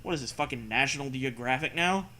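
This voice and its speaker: A man's voice: mid-range voice, expressive, fast, sharp tone. A man doing a mocking dramatic reading with casual riffing, sarcastic, profane and prone to hammy emphasis. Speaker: comedy co-host reading fan fiction aloud.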